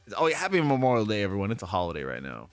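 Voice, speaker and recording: Animated, laughing man telling stories. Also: lowish voice, rapid-fire, no echo. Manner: animated storyteller, laughs often, acts out people he quotes. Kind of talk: casual interview chat.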